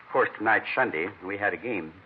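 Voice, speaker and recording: An actor playing a rambling, earnest middle-aged American neighbor in an old radio crime drama, plain-spoken and folksy. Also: male voice, on the low side, fast, husky. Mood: chatty. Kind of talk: 1950s radio acting. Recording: thin, narrow-band vintage radio recording.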